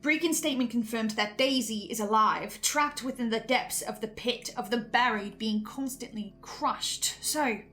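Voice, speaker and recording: A woman with a fairly high voice gives a reflective, earnest recap. She muses in short, halting phrases, with faint music underneath. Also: sharp tone. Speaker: fan commentator playing a researcher.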